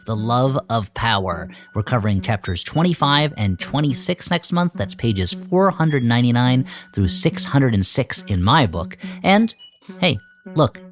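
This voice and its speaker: A man co-hosting a podcast, joking wryly about a book and then plugging other projects. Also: lowish voice. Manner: wry, joking. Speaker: podcast co-host. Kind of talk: casual book club chat.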